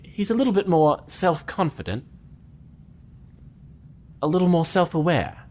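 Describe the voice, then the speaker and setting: An earnest lieutenant, lively pitch. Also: male voice, slight background noise. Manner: earnest, polite, measured. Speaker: starship lieutenant. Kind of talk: fan audio drama acting.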